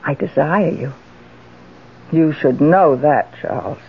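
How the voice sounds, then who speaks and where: An actress playing an adoring, lonely middle-aged widow in a 1970s radio drama, with a breathless, pleading, genteel manner. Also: female voice, sits low, slow, a little hiss or hum. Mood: adoring, yearning. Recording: muffled old radio broadcast recording.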